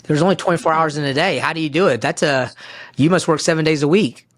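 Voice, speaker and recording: A man's voice: fairly high pitch, quick pace, a bit bright. A man hosting an interview, asking questions in an upbeat way and often repeating words as he starts a phrase. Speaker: podcast host, interviewer.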